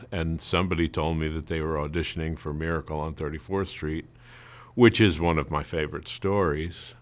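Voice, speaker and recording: A man's voice: extremely low pitch, a bit bright. A middle-aged or older man, a local theater actor, telling his story in an interview.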